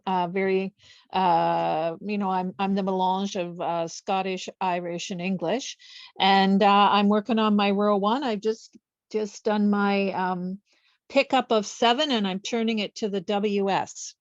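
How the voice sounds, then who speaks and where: An excited, chatty woman from eastern Canada calls in from her home office. Her video call audio sounds dull and dry. She speaks at an ordinary pitch. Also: measured pace, dry recording. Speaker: viewer calling in. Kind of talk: casual video call chat. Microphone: video call mic.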